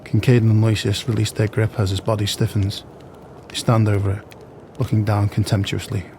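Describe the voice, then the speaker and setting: A male narrator reads scripted stage directions for an audio play. His low voice is measured and very even, with faint ambience behind it. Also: slight background noise.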